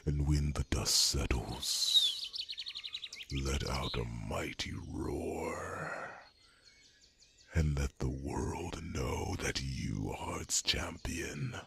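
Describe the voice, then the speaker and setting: A man playing a proud, solemn, fatherly dragon in a whispered ASMR fantasy roleplay, with a gravelly, breathy growl and grandiose delivery. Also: rumbling low, sharp tone, a little hiss or hum, full character voice. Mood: rousing, solemn. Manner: slow, solemn, grandiose storytelling.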